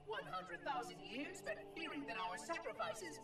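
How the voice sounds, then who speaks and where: A man's voice: very high-pitched, big pitch swings, normal speed. A man playing a prim, aggrieved ancient machine caretaker in a game cutscene, formal and theatrical, with a synthetic echo. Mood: bitter lament. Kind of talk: scripted game cutscene monologue. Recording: echoing, distant, under loud music.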